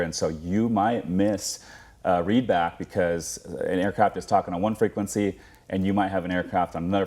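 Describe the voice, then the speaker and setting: Deep-voiced American man, earnest. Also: darkish tone. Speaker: interview guest, former air traffic controller. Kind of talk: news interview answers.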